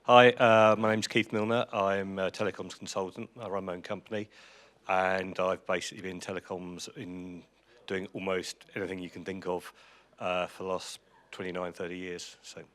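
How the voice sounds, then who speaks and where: Deep, flat-voiced quiet panelist. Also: male voice, moderate pace. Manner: quiet, understated. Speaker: panelist, consultant. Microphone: handheld microphone.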